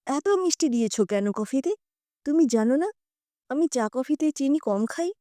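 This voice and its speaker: A woman's voice: high voice, expressive, unhurried, dark tone, no room sound, studio quality. A woman voicing an irritable, demanding husband who complains and scolds, in an audio story. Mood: scolding.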